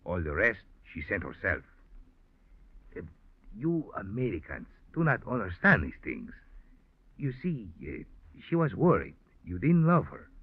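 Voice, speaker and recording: An actor playing a solemn, accusing Mexican police lieutenant in 1940s radio style, with a Mexican accent and deliberate, formal speech. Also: male voice, fairly low pitch, wildly swooping pitch, breathy edge, full character voice. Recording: muffled vintage radio recording with music.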